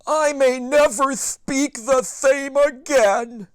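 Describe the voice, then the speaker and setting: A man voicing a fussy old grandfather who has lost his teeth, in a children's storybook read-aloud, loud and mumbling. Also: very high-pitched, dry recording, voice pushed up. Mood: distraught. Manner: loud, slow, wailing, mumbling as if toothless.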